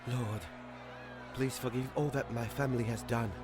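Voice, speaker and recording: A man voicing a nervous young male archer who mutters a hushed, pleading prayer to himself. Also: medium pitch, steady tone, normal speed, over music, a crowd in the background, pitched above the performer's own voice, close to an everyday voice.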